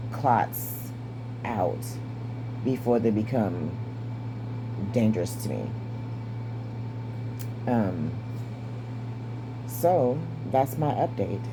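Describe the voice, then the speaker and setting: A woman in her fifties with a raspy, strained voice and short breath, giving a candid personal update. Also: extremely low pitch, noisy background. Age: 50s. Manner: candid, wry, stays positive. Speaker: vlogger. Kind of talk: casual personal update to camera.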